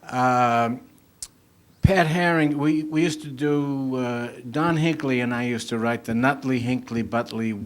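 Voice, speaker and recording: A man's voice: medium pitch, unhurried. A man being interviewed, casually reminiscing and searching for his words. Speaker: comedy writer being interviewed.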